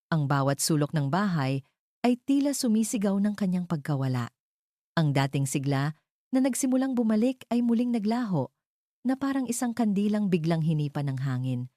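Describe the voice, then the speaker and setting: A woman with a Filipino accent narrating a scripted love story in a solemn, dramatic way with deliberate pauses. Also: sits low, dark tone, no echo, clean studio sound.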